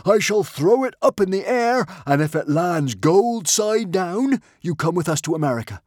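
Manic Viking chief: high, loud, slow gloating roars. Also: male voice, sing-song, no room sound, studio quality. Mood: manic glee. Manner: gleeful, roaring, drawn-out shouts.

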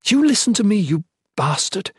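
A man's voice: fairly high pitch, moderate pace, darkish tone, breathy edge, no room sound, studio quality, pitched above the performer's own voice. A man voicing a commanding young queen in a fantasy audiobook, imperious and pleading, desperate and sorrowful. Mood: angry, commanding.

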